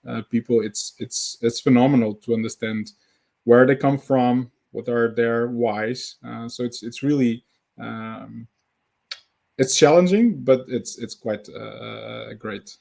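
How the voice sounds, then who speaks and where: A Portuguese-accented man in his thirties talks English as an interview guest. His voice is mellow and sits in a middle pitch, and he hesitates constantly, stacking fillers and repeated words. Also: steady tone, slow pace, vocal fry. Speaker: guest, founder of a sales community. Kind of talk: conversational podcast interview.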